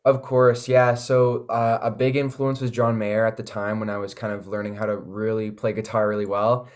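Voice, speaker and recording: Laid-back young Canadian man, lowish voice. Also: level tone, normal speed. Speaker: singer-songwriter guest. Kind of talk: casual interview chat.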